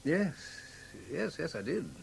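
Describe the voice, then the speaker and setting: An actor in a British radio drama playing a genial, admiring gentleman companion to a detective, hesitant, with an English accent. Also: on the high side, big pitch swings, slow pace, vocal fry, breathy edge, full character voice. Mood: attentive, hesitant. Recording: old radio recording with faint noise.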